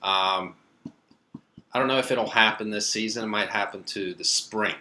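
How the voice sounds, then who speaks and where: A young man from Sweden hosting a live stream, casually reading viewer comments and answering them in a relaxed, rambling way with plenty of fillers. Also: fairly low pitch, moderate pace, a little hiss or hum.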